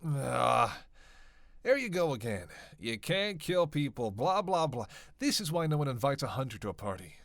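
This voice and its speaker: A man playing a witty, teasing, world-weary vampire in a roleplay audio drama, sarcastic and playful, with drawn-out groans and sighs. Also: mid-range voice, big pitch swings, creaky, fairly bright tone, no room sound, studio quality. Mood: restless, mocking.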